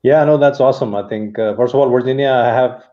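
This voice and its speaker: A man, a company executive, answering in a steady, thoughtful business interview over a video call. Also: medium pitch, fast talker. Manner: steady, thoughtful, many uh fillers. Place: home study. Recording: dull lo-fi video call audio.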